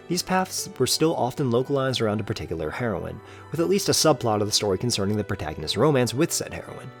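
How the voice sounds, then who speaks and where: A man reading scripted, analytical video essay narration in an earnest, articulate way with formal wording. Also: mid-range voice. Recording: music behind the voice. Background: background music.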